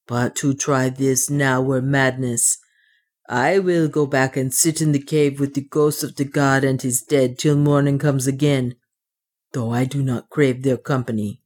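A woman's voice: extremely low pitch, fairly even intonation, unhurried, a little mellow. A woman voicing a superstitious, devoted male dwarf servant in a storybook, with archaic, deferential, self-pitying speech. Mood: resigned.

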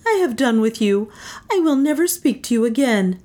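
A fearless, passionate young woman in an adventure audiobook, voiced by a female narrator. She speaks well above a low register, distraught and indignant, with drawn-out, accusing cries. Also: expressive, darkish tone, close to an everyday voice. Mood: angry, accusing. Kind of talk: dramatised audiobook dialogue.

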